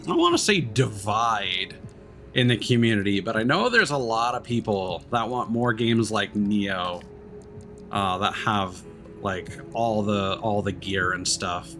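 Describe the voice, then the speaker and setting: A man with a medium-pitched voice chats on a game livestream. He rambles in a casual, easygoing way and often hesitates. Faint music runs behind him. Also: bright, forceful tone. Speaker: live streamer. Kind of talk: casual game stream chat.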